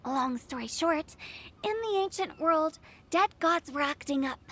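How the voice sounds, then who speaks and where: A woman's voice: high-pitched, lively intonation, measured pace, bright, forceful tone, exaggerated voice. A woman voicing a playful, mischievous young funeral director in a video game, teasing and spinning old tales. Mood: storytelling. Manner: teasing, theatrical, then storytelling. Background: game music.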